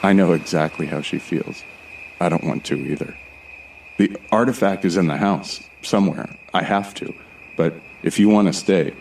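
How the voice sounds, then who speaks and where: Very deep-voiced actor as uneasy male storyteller. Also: moderate pace, creaky, darkish tone, a little hiss or hum, poor audio. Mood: uneasy, resolute. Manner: descriptive, confiding, colloquial with swearing. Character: male narrator-hero, uneasy, determined, wry explorer of haunted places. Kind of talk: first-person scripted audio drama narration.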